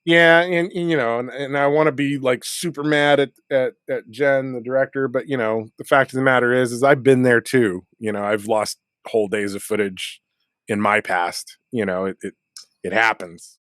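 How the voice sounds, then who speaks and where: Brief: male actor guest, chatty and animated, filler-heavy, laughs a lot; dead room. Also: medium pitch, normal speed, dry recording, clean studio sound. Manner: animated, laughing often, many filler words. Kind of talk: casual chat.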